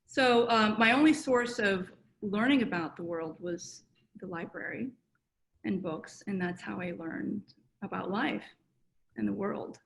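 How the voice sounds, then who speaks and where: Woman with a creaky voice, thoughtful. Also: medium pitch, a bit bright. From South Korea. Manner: thoughtful, many fillers and pauses. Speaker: guest psychotherapist. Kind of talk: reflective personal interview answers. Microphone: video call.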